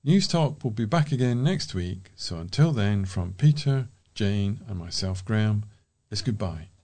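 A man's voice: lowish voice, animated, measured pace, warm tone. A man with a British accent giving scripted announcements as a volunteer news presenter.

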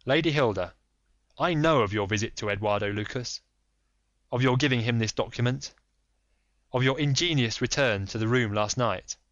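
A man performing a composed detective who presses his point with quiet authority. The voice sits a bit above the narrator's. The recording is dry and muffled. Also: medium pitch, dry recording, natural voice. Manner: measured, insistent, authoritative. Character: calm, firm, commanding male detective. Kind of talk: audiobook reading.

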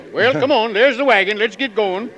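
A man's voice: sits high, normal speed, a bit bright, highs cut off. An actor playing a genial, slangy American frontiersman, folksy and hearty, in a 1940s radio drama. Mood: breezy, genial. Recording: 1940s radio broadcast recording.

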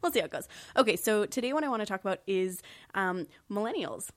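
A woman's voice: medium pitch, animated, quick pace. A young woman with a stuffy, congested voice co-hosts a casual podcast. She talks candidly and laughs often. Her voice is recorded close and dry, with no room echo. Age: young adult. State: congested from a flu. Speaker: podcast co-host. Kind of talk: casual chat.

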